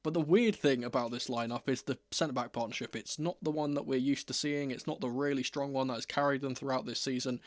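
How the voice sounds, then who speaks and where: A man, a bit croaky from being under the weather, chats casually and wryly as the host of a football watchalong stream. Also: medium pitch, fairly even intonation, fast talker, few pauses, dry recording. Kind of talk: casual livestream chat.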